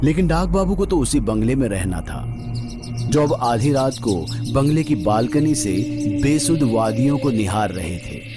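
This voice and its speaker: A man with a mid-pitched voice narrates a scripted horror story. His delivery is dramatic and suspenseful, with drawn-out phrases. Music plays clearly behind him.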